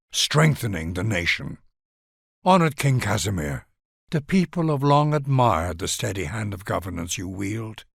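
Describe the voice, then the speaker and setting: A man's voice: mid-range voice, gravelly, husky, no room sound, clean studio sound. A man reading grand scripted narration for a strategy game, addressing the listener in a formal, stately, dramatic way.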